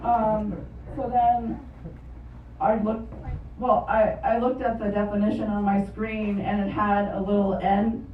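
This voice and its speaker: A woman whose first language is Japanese, doing a stand-up comedy set on a club stage with wry, deadpan storytelling, caught on a phone voice memo from the audience. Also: mid-range voice, measured pace, noisy background. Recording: lo-fi phone recording from far off in an echoey club. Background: audience laughter.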